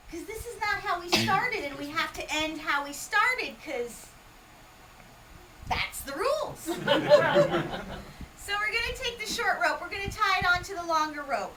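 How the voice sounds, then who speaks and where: A woman magician from Hawaii with a high voice that leaps around, teasing her audience as she works through a comic routine. She sits well back from the microphone, and a steady hiss of room noise runs beneath her. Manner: playful teasing, quizzes the audience. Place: parlour room before a small audience. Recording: distant mic with steady background noise.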